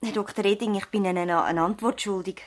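A woman playing an idealistic young doctor's daughter in a 1970s radio drama, with a thoughtful, measured, earnest delivery. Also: mid-range voice, lightly acted. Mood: thoughtful, hesitant. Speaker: actor in a radio play.

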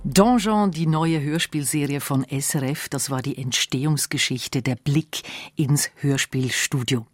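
A man's voice: fairly high pitch, unhurried, faint music behind. A man hosting a radio magazine, interviewing and presenting in a conversational way. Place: dry radio studio.

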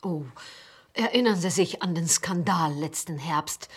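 A woman's voice: fairly low pitch, unhurried, fairly bright tone, slightly rough. An actress playing a scheming middle-aged cleaner and proud mother in a radio play, with a conspiratorial, theatrical manner.

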